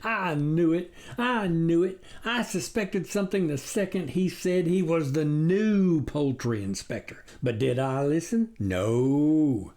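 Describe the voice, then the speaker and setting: A man's voice: fairly high pitch, takes it slowly, hardly any pauses, a little mellow, pitched above the performer's own voice. Man doing a rustic, rambling country farmer. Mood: despairing. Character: bald, gullible, fretful old-fashioned country farmer. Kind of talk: storybook character reading.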